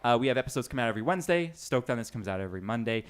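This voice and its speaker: A man's voice: medium pitch, lively intonation, rapid-fire, smooth. A man hosting a podcast in casual chat, talking informally and jokingly. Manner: chatty, informal, jokey. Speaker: podcast host.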